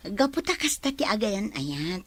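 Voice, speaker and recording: An actress as a supportive young fiancee in an Ilocano radio drama, with a medium-pitched voice. She is sweet and eager, speaking with an Ilocano accent. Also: very animated, moderate pace. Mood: affectionate, teasing.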